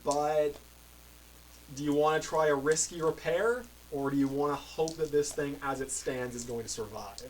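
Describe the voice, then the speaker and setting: A man narrating as game master of a tabletop role-playing game, describing scenes in a relaxed, rambling way. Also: on the high side, normal speed. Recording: mic a bit far, faint noise.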